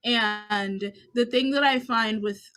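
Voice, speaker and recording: A woman who grew up speaking Dutch co-hosts a casual podcast. Her voice is very bright and sits a little high. She talks in a loose, conversational way. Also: steady tone, slow pace, faint music behind. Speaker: podcast co-host. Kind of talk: casual chat.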